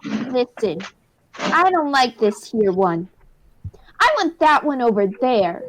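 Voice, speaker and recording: A bratty little boy, acted by a woman in a radio comedy, high and swooping in pitch. He draws his words out slowly, whiny, stubborn and demanding. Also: sing-song, poor audio. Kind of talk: hobby radio play acting.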